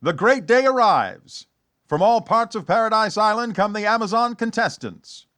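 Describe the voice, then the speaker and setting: A man's voice: sits high, expressive, moderate pace, fairly bright tone, soft background music. A man narrating dramatically in the scripted style of a 1940s radio serial, theatrical and suspenseful.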